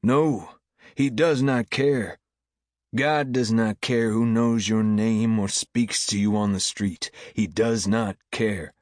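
A fervent revival preacher, acted out by a male audiobook narrator, commanding the room. He declaims old-time sermon lines in drawn-out phrases, with a dry, close sound. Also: fairly low pitch, steady tone, no room sound, pitched above the performer's own voice. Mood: thundering, insistent.

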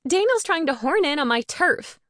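A woman's voice: fairly high pitch, expressive, normal speed, no room sound, studio quality, pitched above the performer's own voice. Woman acting a huffy, haughty, controlling young woman. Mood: huffy, scornful. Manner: huffy, emphatic.

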